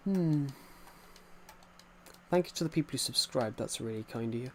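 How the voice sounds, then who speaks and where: A man's voice: mid-range voice, expressive, normal speed, slight background noise. A man in his twenties chatting casually on a game stream, rambling and repeating himself while answering chat from a desk mic. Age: 20s. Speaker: gaming streamer.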